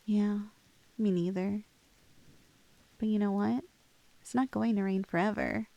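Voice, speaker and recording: A woman's voice: medium pitch, fairly even intonation, measured pace, gravelly, mellow, dry recording, dull audio. A woman playing a lovestruck, clingy young woman in an intimate ASMR roleplay, playful and hesitant, with a teasingly unhinged streak. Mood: wistful.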